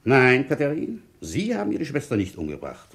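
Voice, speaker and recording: An actor playing a shrewd middle-aged village police inspector in a radio drama, speaking in a measured, ironic, commanding way. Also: male voice, mid-range voice, wildly swooping pitch, vocal fry, a bit bright, breathy edge, heavily acted. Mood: stern, triumphant.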